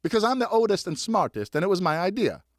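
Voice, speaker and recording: A man voicing a bossy, excitable older boy in an audiobook, cocky and commanding, full of exclamations. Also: sits high, fast, no room sound, clean studio sound, voice pushed up. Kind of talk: audiobook character voice.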